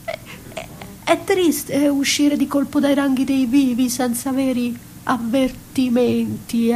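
An actress playing a battered, dying woman in a poetic radio monologue, halting and stammering, repeating words and dropping into whispers. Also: fairly high pitch, mellow, slight background noise, low-quality recording. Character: battered, dying woman left in rubbish, dazed, poetic, darkly ironic. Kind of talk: theatrical monologue performed for radio.

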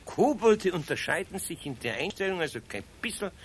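A man's voice: high-pitched, sing-song, a little fast. A man with a Bavarian accent playing a kindly, dry-humored old master carpenter in a 1970s radio play. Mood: teasing. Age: older. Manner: gentle, dry, teasing. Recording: hissy old radio tape with clicks.

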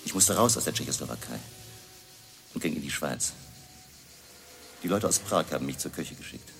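A weary political exile in a radio play, confiding his story gravely. The voice is extremely low and full of creak, with music clearly underneath. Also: lively intonation, moderate pace, a bit bright, exaggerated voice. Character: weary exiled newspaper editor turned underground communist courier. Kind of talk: radio drama monologue.

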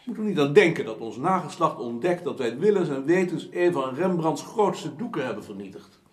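An actor playing a formal, emphatic gentleman councillor in a period radio drama, eloquent and scornful of his peers. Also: on the high side, very animated, brisk. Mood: earnest, oratorical. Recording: roomy, echoing studio sound.

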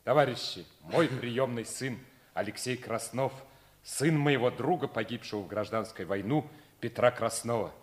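A man's voice: medium pitch, moderate pace, natural voice. Male actor as hearty, avuncular regimental commander, mid-range voice, vintage Soviet radio play. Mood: commanding, fatherly. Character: fatherly commanding lieutenant colonel, jovial Soviet regiment commander. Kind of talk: 1950s Soviet stage radio drama acting.

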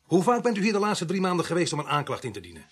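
Brusque village police sergeant, sarcastic, voice goes up and down a lot, radio drama. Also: male voice, a little high, sing-song, very fast, husky. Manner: brusque, sardonic. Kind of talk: Dutch radio play acting.

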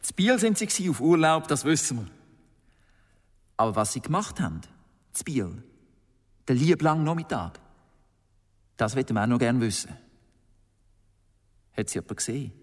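Swiss German interrogator in echoing hall. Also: male voice, medium pitch, very animated, normal speed, pauses often. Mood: probing, sceptical. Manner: official, pointed questioning. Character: probing, sceptical interrogating prosecutor. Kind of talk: radio drama acting. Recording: long reverberant hall.

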